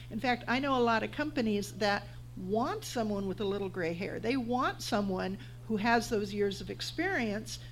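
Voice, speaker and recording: A woman's voice: fairly high pitch, slight background noise, poor audio. Blunt, encouraging woman panelist. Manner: blunt, encouraging, gives advice. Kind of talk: panel discussion.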